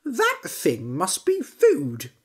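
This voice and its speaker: A woman voicing a curious, picky little male frog who talks brightly. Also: mid-range voice, huge pitch swings, extremely slow, breathy edge, pitched above the performer's own voice, heavily acted. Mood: wondering.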